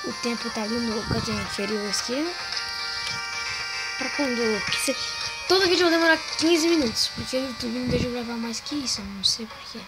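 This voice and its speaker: A young woman with a Brazilian accent, hoarse, rambling casually as she comments on her own gameplay. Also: fairly high pitch, expressive, fairly quick, low-quality recording. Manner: rambling slangy talk, full of fillers. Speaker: gaming channel host. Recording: game music playing behind.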